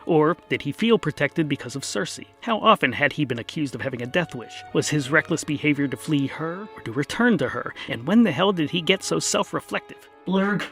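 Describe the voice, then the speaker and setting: A man's voice: a little high, animated, fairly quick, hardly any pauses, a bit bright. Wry male fantasy narrator over music. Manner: wry, dramatic storyteller. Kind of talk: scripted comic fantasy narration.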